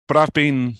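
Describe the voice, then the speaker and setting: A middle-aged British man with a cold, giving a talk over a video call, halting with many restarts. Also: fairly high pitch, a bit bright, smooth, no room sound, studio quality. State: has a cold, clears throat. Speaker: guest speaker. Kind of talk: online talk presentation.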